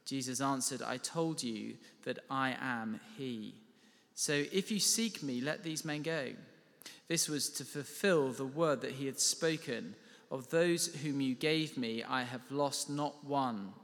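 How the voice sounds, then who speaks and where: A man reading a scripture passage aloud in a slow, measured way. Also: medium pitch, a bit bright, long reverb tail. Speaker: scripture reader.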